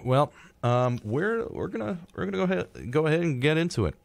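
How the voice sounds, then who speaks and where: A young American man co-hosting a college radio show in casual chat, with halting phrasing and repeated words. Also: a little high, sing-song, gravelly, dry recording. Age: young adult.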